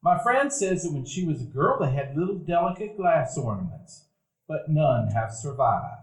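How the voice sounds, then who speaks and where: A man narrating a live radio play calmly, as a grown man recalling his boyhood. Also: on the high side, normal speed. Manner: calm, reminiscent storytelling. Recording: roomy live stage recording.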